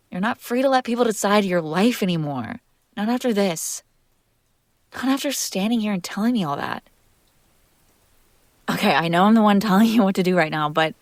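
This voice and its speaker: A woman playing a jealous, possessive and fiercely protective young woman in an intimate roleplay audio drama, speaking close to the mic in emphatic, halting bursts. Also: medium pitch, no room sound, natural voice. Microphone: close microphone, dry sound.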